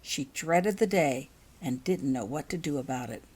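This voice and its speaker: A woman's voice: low-pitched, expressive, moderate pace, darkish tone, breathy edge. A woman reading her own fantasy fiction aloud as a scripted audiobook narration.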